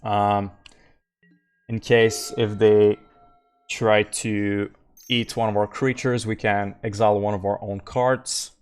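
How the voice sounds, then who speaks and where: A man's voice: on the low side, little pitch movement, slow pace, smooth, faint music behind. A man from Quebec with a French accent, streaming a live card game and thinking aloud through his plays, with frequent fillers and easygoing asides to chat. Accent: Québécois French. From Quebec, Canada. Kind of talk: live gameplay commentary.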